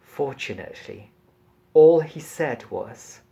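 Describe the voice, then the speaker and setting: A man reading a passage from a novel aloud in a measured, deliberate and expressive storytelling manner. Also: mid-range voice, gravelly, highs cut off.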